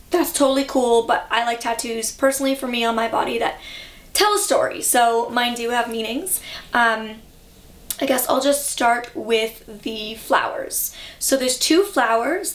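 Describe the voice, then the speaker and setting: Chatty young woman in an echoey room. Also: a little high. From Canada. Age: young adult. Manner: chatty, reflective, many fillers. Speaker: vlogger. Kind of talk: casual vlog talk.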